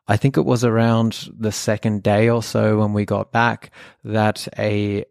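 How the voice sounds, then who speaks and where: A man's voice: on the low side, gravelly, no room sound, clean studio sound. A man in his thirties from Australia whose first language is German, telling his life story in an earnest, reflective way with many fillers. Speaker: podcast co-host. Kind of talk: personal storytelling.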